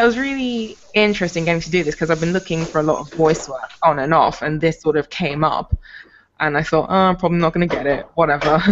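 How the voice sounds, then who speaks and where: A woman's voice: fairly low pitch, creaky. A young British woman chatting casually as a voice actor on an online call. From Britain. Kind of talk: casual chat. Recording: noisy lo-fi internet call.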